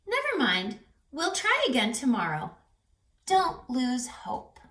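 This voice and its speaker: A woman's voice: sits high, huge pitch swings, slow, fairly bright tone, pitched above the performer's own voice, full character voice. A woman voicing a band of kindly, eager forest animals in a children's storybook, exclaiming and encouraging. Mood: hopeful. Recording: roomy echo, distant mic, music behind.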